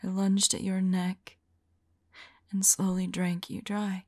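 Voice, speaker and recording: Slow, whispering female vampire, played by a woman. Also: medium pitch, monotone, no room sound. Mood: anguished. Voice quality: soft, breathy whisper. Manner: slow, halting, tender delivery. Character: remorseful, lovelorn female vampire confessing to reincarnated soulmate. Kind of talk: ASMR roleplay, intimate whispered dramatic monologue.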